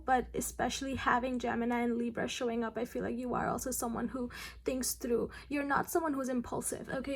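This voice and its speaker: A young woman with a hoarse, raspy voice from losing it, giving a casual chatty tarot card reading to her viewers with frequent ums. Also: on the high side, slight background noise.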